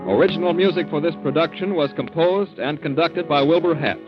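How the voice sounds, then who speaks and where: A man's voice: fairly high pitch, fairly quick. A man announcing formally and emphatically in 1940s network radio style. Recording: muffled old radio recording. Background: loud theme music.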